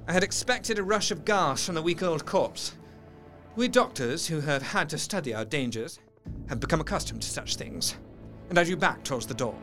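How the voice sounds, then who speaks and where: A man's voice: on the high side, normal speed, faint music behind. A man playing a proper, troubled Victorian doctor, narrating his own horror story formally and with feeling in an audio drama. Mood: shaken. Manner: formal, expressive. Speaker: narrator in character.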